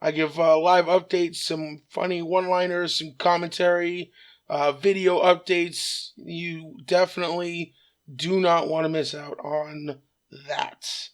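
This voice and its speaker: Raspy-voiced man hosting a news show. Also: fairly high pitch, flat delivery. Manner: earnest, measured, repeated apologies and fillers. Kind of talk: solo news commentary.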